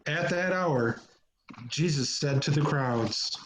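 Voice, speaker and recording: A man reads scripture as narrator, solemn and deliberate. He takes it very slowly, in a somewhat high male voice. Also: a little hiss or hum, low-quality recording. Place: remote recording on a video call. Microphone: video call.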